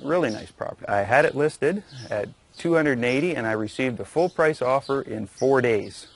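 A man's voice: medium pitch, lively intonation, moderate pace. A middle-aged Canadian man giving a plain, slightly hesitant testimonial outdoors. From Saskatchewan, Canada. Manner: matter-of-fact, hesitant. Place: outdoors in a garden yard. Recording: outdoor noise with birdsong.

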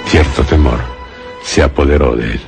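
Extremely deep Castilian male narrator. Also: fairly even intonation, over loud music. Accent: Castilian Spanish. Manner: grave, measured storytelling. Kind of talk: suspenseful scripted radio drama narration.

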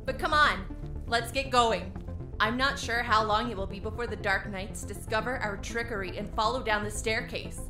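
A woman's voice: a little high, moderate pace, music in the background, natural voice. A woman playing a confident, breezy young airship pilot in a fantasy audio drama. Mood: brisk. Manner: proud, breezy, self-assured.

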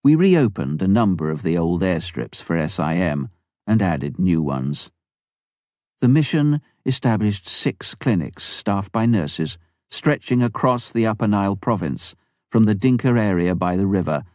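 Deep, dark-voiced man reading a memoir. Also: no room sound, clean studio sound. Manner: measured, even first-person reading. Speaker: audiobook reader. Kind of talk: scripted memoir narration.

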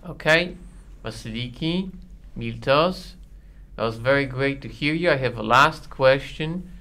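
A man's voice: medium pitch, unhurried, pushed and bright. A man in his twenties politely interviewing guests, speaking close on a studio microphone. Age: 20s. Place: acoustically padded radio studio. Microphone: close broadcast mic.

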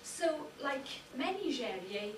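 A middle-aged woman with a Welsh accent, a native Welsh speaker, giving a measured public lecture as a university lecturer. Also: sits high, sing-song, recorded from a distance, background noise. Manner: measured, lists names with careful emphasis. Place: darkened lecture hall. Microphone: far room recording. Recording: echoey hall recorded from afar.

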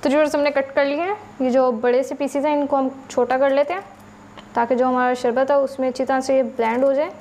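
A woman's voice: high-pitched, steady tone, fairly quick, a little mellow, slight background noise. A woman hosting a home cooking channel with a Pakistani Urdu accent, patiently explaining each recipe step to her viewers.

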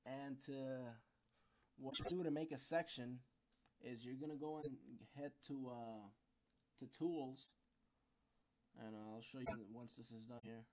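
A man giving an informal, unscripted software tutorial, explaining step by step and sometimes searching for words. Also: medium pitch, fairly even intonation, unhurried, long pauses.

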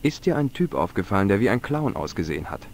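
A man's voice: lowish voice, sing-song, quick pace, gravelly, a little mellow, slight background noise. A man playing a wry, persistent young adventurer in a video game, questioning people in a dry, ironic manner. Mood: skeptical.

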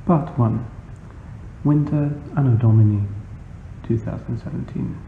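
A man gives a measured reading of a short story. His delivery is formal and literary, at a middling pitch. The sound is narrow and phone-like, with a roomy echo around it. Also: vocal fry, a little hiss or hum. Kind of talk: literary scripted narration.

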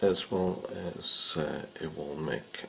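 A man with an extremely low, gravelly voice walks through a hands-on repair at an indoor workbench. He talks slowly and unhurriedly, pausing often with "uh" and "um" as he works. A faint hiss of room noise sits under his voice. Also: steady tone, a bit bright. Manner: unhurried, with frequent "uh" and "um" fillers. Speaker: fishing rod repair instructor. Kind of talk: casual how-to demonstration.

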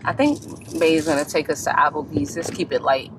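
A woman's voice: deep voice, brisk. A young woman, chatting casually to her viewers while filming in a car. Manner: chatty, laughing, addresses viewers as y'all. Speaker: vlogger. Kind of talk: casual vlog chat. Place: inside a parked and moving car. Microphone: handheld camera at arm's length. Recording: noisy car interior.

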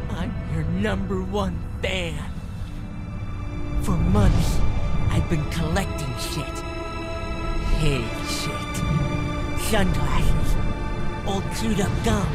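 An actor playing an obsessed male superfan narrating a game cutscene in a creepy, dramatic confessional way. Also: high voice.

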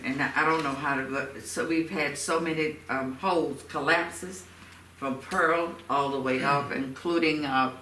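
A man with a bright, fairly high voice talks slowly and haltingly at a council meeting, repeating himself while searching for words. It is recorded in an echoey room from a distance. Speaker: city council member. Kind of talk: informal public meeting discussion. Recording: echoey room, distant mic, faint noise.

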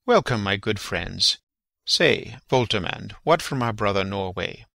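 An actor playing a stately, commanding king in a classical drama reading, formal and measured. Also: lowish voice, expressive, gravelly, sharp tone, no echo, full character voice. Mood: expectant.

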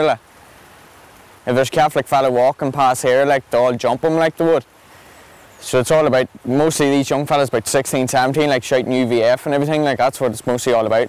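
Clear-voiced young Northern Irish man. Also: fairly high pitch, poor audio. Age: young adult. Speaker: interviewee. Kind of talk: documentary interview. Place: outdoors on a footbridge.

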